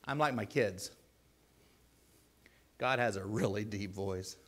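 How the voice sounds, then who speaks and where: A man with a deep voice preaching a sermon in a church, earnest and pleading, with loud emphatic bursts. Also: takes it slowly. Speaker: lay preacher.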